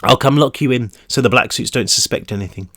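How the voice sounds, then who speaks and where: A man voicing a hushed, urgent prisoner boy. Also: mid-range voice, brisk, dry recording, studio quality. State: croaky, losing his voice. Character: young male prisoner boy, secretive and urgent.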